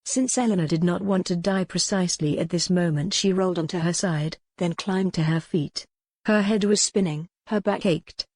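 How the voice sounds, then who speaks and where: Female audiobook narrator for historical romance: lowish pitch, scripted dramatic prose, very dry studio-like sound. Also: darkish tone, no room sound.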